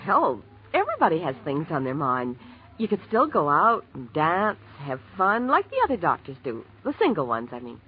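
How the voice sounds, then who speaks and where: An actress playing a chatty, teasing young hospital receptionist in 1940s radio drama, breezy and playful. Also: female voice, low voice, wildly swooping pitch, moderate pace. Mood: curious, prodding. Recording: muffled old radio recording, faint noise.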